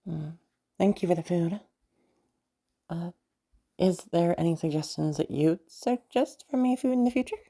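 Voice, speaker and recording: A woman's voice: on the low side, normal speed, long pauses, mellow, pitched above the performer's own voice. A woman voicing a polite, naive kidnapped child in an audio roleplay. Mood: meek, polite.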